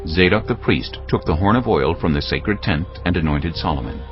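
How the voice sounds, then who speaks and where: A male narrator with a low, deep voice reads scripture as drama, his intonation moving widely. Music plays clearly behind him and the recording is somewhat dull. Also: animated, fairly quick. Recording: dull lo-fi audio, music bed.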